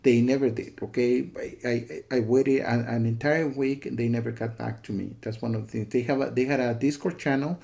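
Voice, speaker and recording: A man's voice: medium pitch, fairly even intonation, a little fast, gravelly, few pauses, mellow. A man giving a casual, disapproving spoken review, repeating himself and often checking in with "okay" and "you know.". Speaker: software reviewer.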